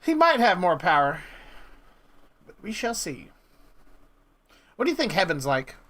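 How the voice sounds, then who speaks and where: Animated, bright-voiced man in his thirties. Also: sits high, unhurried. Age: 30s. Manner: probing, playful, teasing. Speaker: prank caller and interviewer. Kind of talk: casual teasing phone chat.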